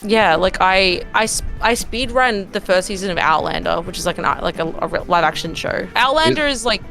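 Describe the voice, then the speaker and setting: A woman's voice: mid-range voice, expressive, fairly quick, fairly bright tone, faint music behind. A woman co-hosting a casual podcast, rambling with lots of filler while she tells stories. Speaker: podcast co-host.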